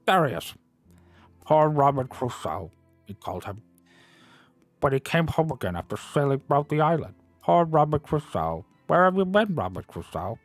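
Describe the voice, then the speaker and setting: A man voicing an old male miser, overwrought and swinging between laughter and tears, in a breathless, excitable delivery. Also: on the high side, normal speed, no echo, soft background music, voice pushed up. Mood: excited.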